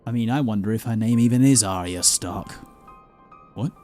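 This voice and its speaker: A man giving casual commentary on a game stream, animated, often breaking off and repeating himself. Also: fairly low pitch, moderate pace. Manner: animated, self-interrupting, repeats phrases for effect. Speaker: streamer playing an online role-playing game. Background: game music.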